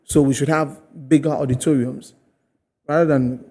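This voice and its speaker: A Ghanaian man hosting a conversational TV interview, building his points piece by piece in a halting way. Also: medium pitch, fairly even intonation, mellow. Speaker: TV host.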